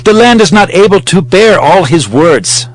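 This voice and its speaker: A man's voice: high voice, lively intonation, normal speed, faint music behind, rough recording. A man playing a hostile, haughty court priest who declaims accusingly in a dramatized scripture reading.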